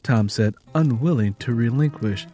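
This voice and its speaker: A man's voice: mid-range voice, moderate pace, warm tone. Male storyteller over background music. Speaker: audiobook narrator. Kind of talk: scripted fiction narration. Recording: music playing under the voice.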